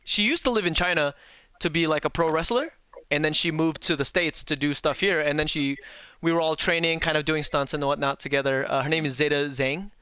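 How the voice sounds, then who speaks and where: Animated young man telling stories. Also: a little high, a little fast, clean tone, no echo, highs cut off. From Indonesia. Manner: animated storyteller, acts out family arguments, laughs often. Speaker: host. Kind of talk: casual chat on a livestream.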